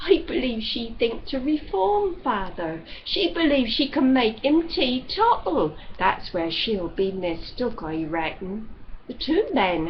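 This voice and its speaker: Expressive, unhurried woman storyteller. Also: medium pitch, highs cut off, slight background noise. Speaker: audiobook reader. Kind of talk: expressive literary narration.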